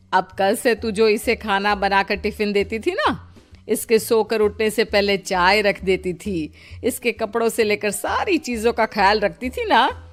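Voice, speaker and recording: A woman's voice: mid-range voice, natural voice. A woman voicing a protective elderly mother-in-law in a cartoon story, motherly, insistent and admonishing. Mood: firm.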